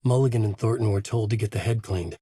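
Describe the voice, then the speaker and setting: Husky middle-aged guy, brooding noir narration, dry close sound. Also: lowish voice, steady tone, fast, dark tone, dry recording. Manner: brooding, noir-like inner monologue. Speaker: video game protagonist, a writer. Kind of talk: hard-boiled game narration.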